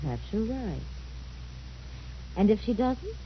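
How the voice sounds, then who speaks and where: A woman's voice: fairly high pitch, sing-song, takes it slowly, muffled recording, slight background noise. An actress playing a wary, complicit middle-aged mother in an old-time radio drama. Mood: wary, musing.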